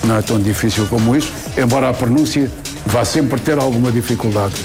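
A man with a European Portuguese accent weighing in on a football panel, deliberate and colloquial. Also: medium pitch, over music. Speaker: football commentator. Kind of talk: panel discussion.

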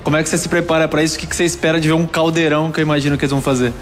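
Brazilian journalist asking a question. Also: male voice, on the high side, little pitch movement, rapid-fire, long reverb tail, background noise. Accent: Brazilian Portuguese. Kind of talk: press conference question.

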